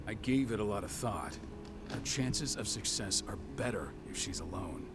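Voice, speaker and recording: Brief: stoic werewolf leader and strategist, deep register, measured authority, game cutscene over loud music. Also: male voice, lively intonation, gravelly. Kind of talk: video game cutscene acting.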